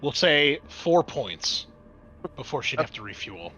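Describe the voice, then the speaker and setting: Bright-voiced man running a tabletop game. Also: mid-range voice, very animated, a little slow, dull audio, soft background music. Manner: conversational, explanatory. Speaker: game master. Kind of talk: narrating and refereeing a tabletop game.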